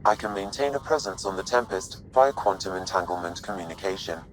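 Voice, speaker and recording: Guy doing a calm formal deadpan ship ai from a game, low voice, faint rumble behind. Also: brisk, lightly acted, poor audio. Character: calm, polite, literal-minded male artificial intelligence of a starship. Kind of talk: video game dialogue.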